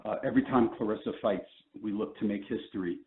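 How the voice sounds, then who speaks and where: A man giving a promoter's press statement over the phone in a measured, emphatic way. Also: on the low side. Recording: narrow phone conference line.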